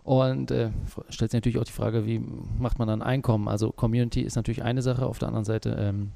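A man's voice: medium pitch, flat delivery, fast talker, gravelly, warm tone. A man as the interview guest, a small business owner, talking through his work in a hesitant way with many fillers. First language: German. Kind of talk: conversational interview.

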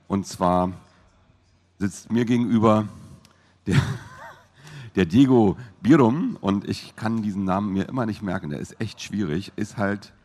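A man's voice: fairly low pitch, unhurried, darkish tone. A man chatting informally as an interviewer, in a loose conversational manner. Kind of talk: casual interview chat.

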